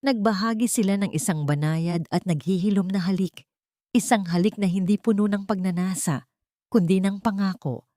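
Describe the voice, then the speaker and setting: A woman narrating a scripted romantic drama story in a measured, sentimental way. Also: medium pitch, warm tone, no room sound.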